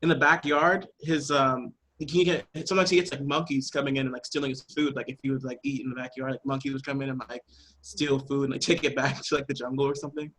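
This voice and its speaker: A man with an average-pitched voice chats informally. He talks in a loose, meandering way, stringing thoughts together with lots of "like". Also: little pitch movement, fast talker, a bit bright. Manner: loose, rambling, heavy use of "like". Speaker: cast member of a radio play podcast. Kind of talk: casual chat.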